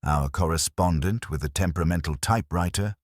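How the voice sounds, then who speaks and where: A man's voice: low-pitched, a little fast, no room sound, clean studio sound. A man voicing a mild, dryly witty police inspector in a mystery story, with an understated, formal way of talking.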